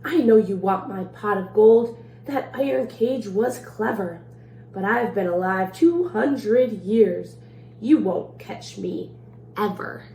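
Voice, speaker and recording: A woman's voice: medium pitch, animated, takes it slowly, gravelly, mellow. A woman voicing a boastful, mischievous old male leprechaun in a rhyming picture-book read-aloud, teasing and playful, in a roomy space. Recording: roomy echo, faint background noise.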